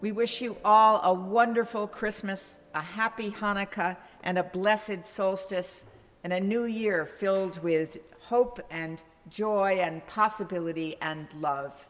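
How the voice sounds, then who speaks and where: A woman's voice: mid-range voice, steady tone, measured pace. A woman giving scripted closing remarks to a congregation as a church staff member. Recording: long hall reverb.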